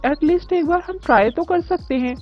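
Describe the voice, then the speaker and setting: A woman voicing a timid, earnest young man in love in an animated story. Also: extremely high pitch, brisk, pitched above the performer's own voice. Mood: pleading. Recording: dull lo-fi audio with music.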